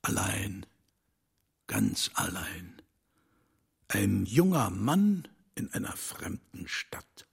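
A man playing an enigmatic, commanding older rich man in a dubbed film drama, speaking deliberately and theatrically with dramatic pauses and hushed drops. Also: lowish voice, sing-song, heavily acted. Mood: haunted, storytelling. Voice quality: gravelly, husky.

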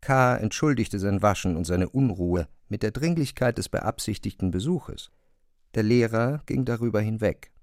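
Guy narrating a literary audio drama, deep-ish n creaky, measured but expressive, bone dry studio. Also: dry recording.

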